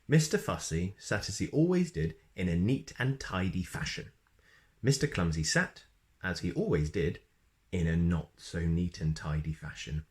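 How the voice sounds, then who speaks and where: A man reading a children's story expressively, with playful emphasis and dramatic pauses. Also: low voice, very animated, a little mellow. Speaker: storybook reader.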